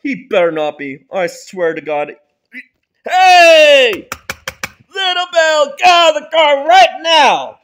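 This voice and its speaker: A performer voicing a grown father who turns frantic and furious, shrill and panicky, in a homemade family drama. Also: normal speed, hardly any pauses, slight background noise, natural voice.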